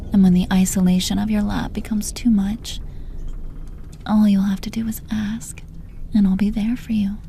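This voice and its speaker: Woman performing as female arch villain, possessive and menacing yet tender, dark soft timbre, sultry deliberate delivery, audio roleplay, noticeable background noise. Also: medium pitch, vocal fry. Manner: sultry, deliberate, swearing, addresses listener with pet names. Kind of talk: intimate audio roleplay, scripted monologue.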